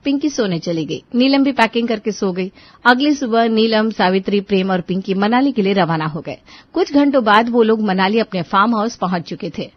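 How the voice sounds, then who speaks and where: A woman's voice: mid-range voice, expressive, normal speed, no echo, lo-fi sound. A woman reading scripted storybook narration as the narrator of a moral tale.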